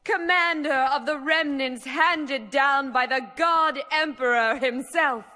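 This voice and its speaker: An actress playing a formal court herald, grand and declamatory, in a ceremonial video game announcement. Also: female voice, high voice, slow pace, bright, forceful tone, heavily acted. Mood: ceremonious. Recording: long echoing hall reverb.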